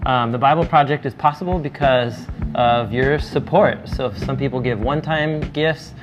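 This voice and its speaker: A man's voice: medium pitch, normal speed, lo-fi sound. A man hosting a video series, addressing viewers in an informal, conversational and unpolished way. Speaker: host of an educational video series. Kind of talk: conversational channel outro.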